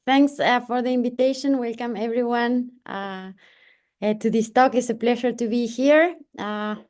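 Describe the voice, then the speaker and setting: Woman giving a halting lecture. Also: fairly high pitch, steady tone, a little mellow. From Argentina. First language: Spanish. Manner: halting, many fillers and restarts. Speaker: invited researcher giving a lecture. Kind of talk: academic online talk. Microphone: video call.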